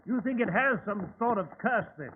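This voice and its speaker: A tinny old radio recording with light hiss. a British gentleman sidekick with a high male voice, nervous and blustery, who stammers and bumbles in radio-play fashion. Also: animated, moderate pace. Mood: uneasy. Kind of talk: old-time radio drama acting.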